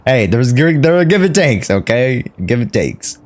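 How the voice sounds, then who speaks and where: A man's voice: mid-range voice, sing-song, normal speed. A man doing casual, joking live game commentary as a streamer. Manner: joking, mimics the game's lines.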